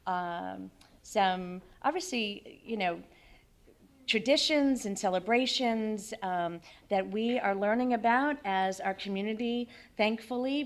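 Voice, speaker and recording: A woman organizer welcoming an audience at a community event, speaking hesitantly with many fillers. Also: medium pitch. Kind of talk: welcome speech. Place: community center hall.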